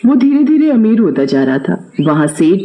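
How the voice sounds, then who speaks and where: A man's voice: high-pitched, very animated, moderate pace, mellow, highs cut off. A man expressively narrating a scripted children's story, with a roomy echo on his voice.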